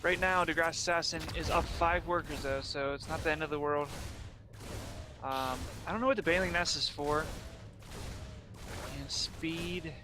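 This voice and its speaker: A young man from Pennsylvania giving steady, explanatory play-by-play commentary on a strategy game. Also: on the high side, moderate pace, pauses often. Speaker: play-by-play caster. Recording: faint background noise.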